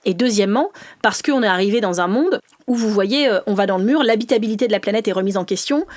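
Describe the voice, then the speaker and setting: A woman from the north of France, a politician guest in an interview, talking fast and animated with laughing asides and many restarts. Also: mid-range voice, dry recording. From northern France, mining basin. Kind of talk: lively conversational interview.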